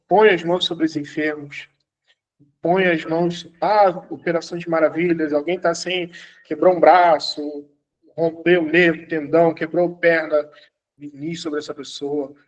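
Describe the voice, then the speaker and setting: High-voiced man preaching to a class. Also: rough recording. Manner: exhorting, repeats commands, laughs while telling stories. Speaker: apostle teaching a class. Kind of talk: teaching an online church class.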